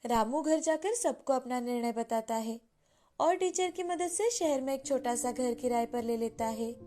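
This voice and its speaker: High-pitched woman narrating a children's story. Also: warm tone. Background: background music.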